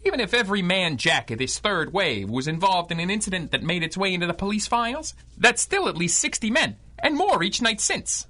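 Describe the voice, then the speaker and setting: An actor playing a middle-aged, wisecracking 1930s crime reporter with a slangy, wry delivery in a radio drama. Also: male voice, sits high, big pitch swings, fairly quick, hardly any pauses, sharp tone, full character voice. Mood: wry, animated.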